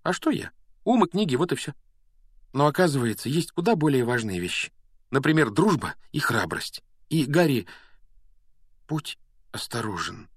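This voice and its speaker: A man plays a clever young schoolgirl witch in a storybook reading. The voice is lifted high and sits close in a dry space. She is bossy and earnest, explaining things emphatically, yet caring underneath. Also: very animated, moderate pace, long pauses, husky, no room sound. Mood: modest, earnest. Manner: lecturing, emphatic.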